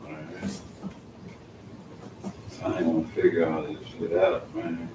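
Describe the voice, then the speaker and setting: A very deep-voiced man mutters slangy, laid-back remarks at a slow, drawn-out pace, his tone rising and falling a lot. He sits away from the mic in a very echoey room. Clear background noise runs under him. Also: flat delivery, recorded from a distance. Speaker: live-stream host reacting to a video. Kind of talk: casual live-stream chatter.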